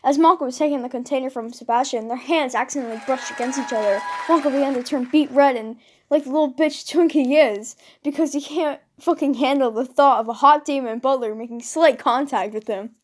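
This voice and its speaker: Girl with a really high voice reading out her fanfic, dramatic n jokey storytelling. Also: brisk, hardly any pauses. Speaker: fan fiction writer and reader. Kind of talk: dramatic comedic story narration.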